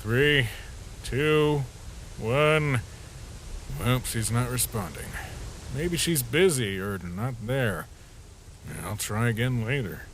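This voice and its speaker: A man's voice: medium pitch, slow, pauses often, a bit bright, a little hiss or hum. A man voicing an easygoing, joke-loving skeleton sentry in a comic dub, casual and musing. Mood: wistful, musing. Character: easygoing skeleton sentry who loves jokes, troubled by nightmares. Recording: music behind the voice.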